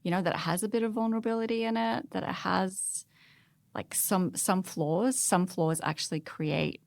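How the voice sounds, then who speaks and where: A woman's voice: lowish voice, moderate pace, vocal fry. A woman talking casually as a panelist in a podcast discussion.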